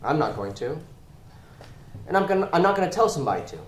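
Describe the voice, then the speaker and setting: A man's voice: fairly high pitch, sing-song, fast talker. A man acting in a stage play as a shady, persuasive crime boss who coaxes and evades. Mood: guarded, instructing. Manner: coaxing, evasive, rhetorical. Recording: echoey room, clear background noise.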